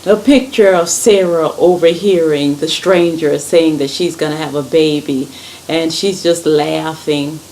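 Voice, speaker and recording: A woman reading a children's story aloud in an expressive, deliberate way. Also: sits low, slight background noise, rough recording.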